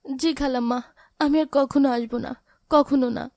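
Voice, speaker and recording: A woman voicing a poor orphaned big sister in a cartoon drama, gentle, sorrowful and pleading. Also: high-pitched, fairly even intonation, moderate pace, no echo, close to an everyday voice. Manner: plaintive, pleading.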